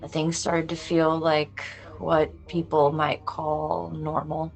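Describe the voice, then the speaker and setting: A woman's voice: sits low, completely flat delivery, takes it slowly, gravelly, faint music behind, full character voice. A woman playing a tough, blunt, foul-mouthed cop wounded by her past, in a film script read-through. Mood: pained, earnest. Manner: earnest, blunt, swearing.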